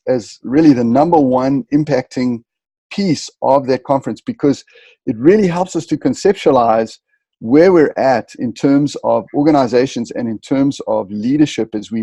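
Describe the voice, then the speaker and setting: A man from southern Africa answers interview questions in a lecturing way, dense with specialist terms. His voice is gravelly and mellow, a little husky, and the sound is dry and close. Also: mid-range voice, dry recording. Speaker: guest, leadership consultant.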